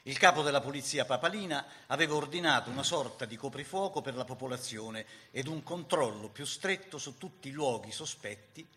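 A man's voice: mid-range voice, lively intonation, a little fast, few pauses, pushed and bright. A man reading scripted historical narration for radio theatre in a dramatic storytelling style.

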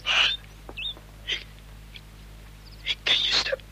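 An actor playing a frightened traitor in hiding in a radio drama, stammering and incredulous. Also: male voice, drawn-out words, sharp tone, slight background noise, exaggerated voice. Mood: shocked, fearful.

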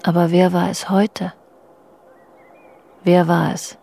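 A hushed, mellow, brooding wife. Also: female voice, on the low side, flat delivery, gravelly, husky, heavily acted. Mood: brooding, needling. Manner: hushed, halting, often dropping into whispers. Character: brooding, suspicious middle-aged wife named Ursula. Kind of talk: intimate German radio drama acting, dialogue and inner monologue.

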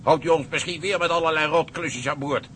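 Chatty ship's cook male actor in maritime drama, wry and colloquial, fairly high, noisy background. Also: a little fast, a bit bright, natural voice. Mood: concerned.

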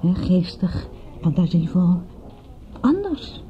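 A woman's voice: fairly low pitch, sing-song, slow, muffled recording. A woman playing a vulnerable, guarded suburbanite who talks in a confiding, self-deprecating way in a radio play. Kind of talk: radio play dialogue, naturalistic.

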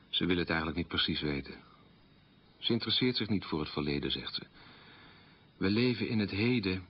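A troubled middle-aged man in a confessional radio monologue. His voice is deep and a little husky, and he speaks soberly in measured, articulate phrases. The sound is slightly dull and lo-fi. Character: troubled man burdened by his family's collaborationist past.

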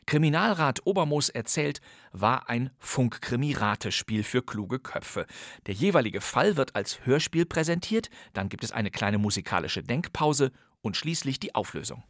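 A man's voice: medium pitch, very animated, normal speed, a bit bright, no room sound, clean studio sound. A man presenting a podcast with scripted, conversational and slightly theatrical narration. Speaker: podcast host.

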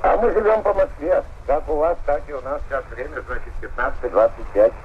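A man's voice: on the high side, brisk. Man over a tinny, noisy radio link. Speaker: polar station worker being interviewed. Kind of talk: radio interview answers. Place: polar station radio room.

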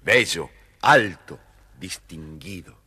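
Low, husky male storyteller. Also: animated, takes it slowly, bright, forceful tone. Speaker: storyteller, narrator. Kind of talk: expressive scripted children's narration.

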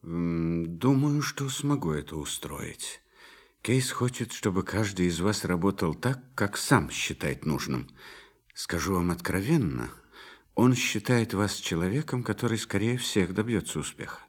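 Low-voiced, tactful male psychologist. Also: animated, measured pace, breathy edge, full character voice. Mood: reassuring, candid. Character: calm, tactful male psychologist, quietly probing and encouraging. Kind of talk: radio play acting.

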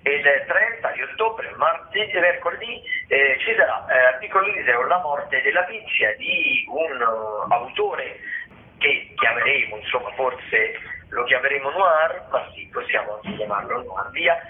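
A man's voice: mid-range voice, sing-song, a little slow. A man presents arts listings as a radio host in a semi-improvised, hesitant way, searching for words mid-phrase. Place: radio broadcast. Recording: tinny, narrow phone-like radio sound.

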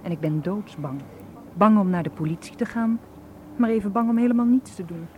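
A woman's voice: mid-range voice, fairly quick, dark tone, natural voice. An actress playing a young, anxious but determined female tour guide in a radio drama, speaking earnestly. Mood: worried, insistent. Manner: earnest, insistent. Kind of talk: Dutch radio drama acting. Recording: radio play, clear background noise.

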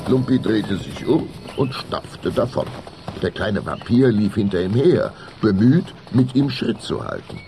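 A man narrating a scripted German children's radio play as its storyteller, with a gravelly voice. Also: on the low side, very animated, unhurried, dark tone, slight background noise.

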